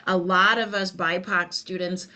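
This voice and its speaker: A woman's voice: fairly low pitch, level tone, a little slow, pushed and bright. A middle-aged woman with a Liberian English accent, giving an earnest, conversational webinar presentation with many "you know" fillers. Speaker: workshop presenter.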